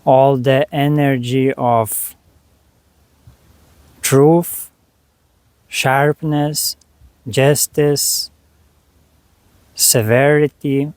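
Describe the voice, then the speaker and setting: A man leading a guided meditation, speaking to the listener in short, unhurried phrases with long pauses between them. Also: mid-range voice, steady tone, a little mellow, smooth, dry recording.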